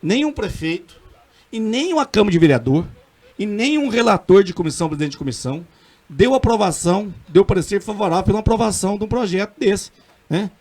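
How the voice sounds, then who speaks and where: Man, middle-aged, Minas Gerais accent, high voice, earnest, emphatic, halting restarts, councilman on radio. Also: animated, darkish tone. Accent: Minas Gerais Portuguese. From Brazil. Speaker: city councilman. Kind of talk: radio interview.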